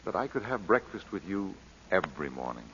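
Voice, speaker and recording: An actor playing a genial, romantic English lord with an urbane, courtly manner in old-time radio drama. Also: male voice, low-pitched, sing-song, fairly quick, a bit bright, full character voice. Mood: romantic, teasing. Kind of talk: 1940s radio acting. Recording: dull, faintly noisy vintage radio recording.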